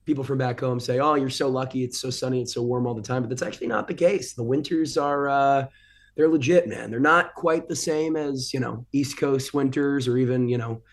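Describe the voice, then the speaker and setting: A man's voice: mid-range voice, fairly even intonation, brisk. An American man in his twenties from Boston, chatting casually and informally as a guest broadcaster on an interview show. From Boston, United States. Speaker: guest, broadcaster and ring announcer.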